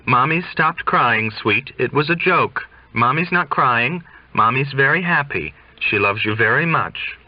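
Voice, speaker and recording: A man's voice: mid-range voice, animated, measured pace, dry recording, lo-fi sound, voice pushed up, close to an everyday voice. A man reading an audiobook as a tipsy young mother who is brittly cheerful, defensive and insistently reassuring. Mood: soothing. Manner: insistent, over-bright reassurance.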